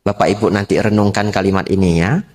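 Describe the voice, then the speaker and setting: A man preaching as a Buddhist monk, unhurried, repeating key phrases and posing rhetorical questions to lay listeners. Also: on the low side, steady tone, a little mellow. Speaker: Buddhist monk giving a Dhamma talk. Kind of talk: sermon to a lay congregation.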